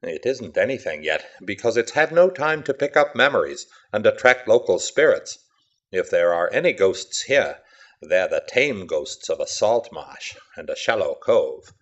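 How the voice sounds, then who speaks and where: A man voicing an eccentric, brooding painter of the macabre in an audiobook, speaking in a hushed, measured, disdainful manner. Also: fairly low pitch, very animated, lo-fi sound. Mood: brooding, scornful. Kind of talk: dramatic story reading.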